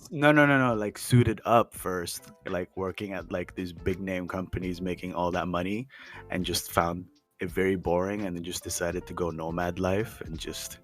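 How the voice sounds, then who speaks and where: A man's voice: low-pitched, fairly even intonation, moderate pace, soft background music. A man co-hosting a casual podcast, asking questions and teasing his friends. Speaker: podcast co-host. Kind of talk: casual chat.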